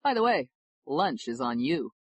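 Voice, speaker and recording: A woman's voice: extremely low pitch, very animated, measured pace, husky, dry recording, exaggerated voice. A woman voicing a brash, generous male defense lawyer who talks emphatically and blusters. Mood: emphatic.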